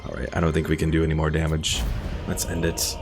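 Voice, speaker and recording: A man's voice: rumbling low, completely flat delivery, quick pace, gravelly. A man giving casual, easygoing commentary while streaming a game, thinking aloud as he plays. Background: game music.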